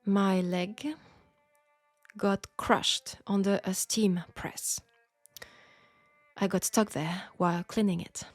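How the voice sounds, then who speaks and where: A woman with a French accent playing a proud, wry young Parisian seamstress in a scripted audio roleplay, speaking in a measured, pointed way. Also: mid-range voice, steady tone, dry recording, soft background music. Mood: somber. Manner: measured, pointed, sardonic, with sighs.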